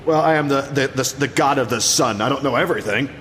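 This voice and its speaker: A man's voice: medium pitch, quick pace, fairly bright tone, close to an everyday voice. A man playing a self-important yet casual Egyptian sun god in an improvised comedy skit, chatty and a little hesitant. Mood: sheepish, chatty. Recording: noisy, reverberant room recording.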